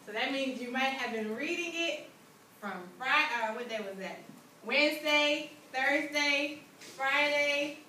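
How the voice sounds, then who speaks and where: A woman with a high voice teaches a class, prompting with short questions. She sounds distant from the microphone in a very echoey room, with clear background noise. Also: a little slow, bright, forceful tone. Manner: checks understanding with short questions. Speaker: English teacher. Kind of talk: interactive classroom lesson. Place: indoor school classroom.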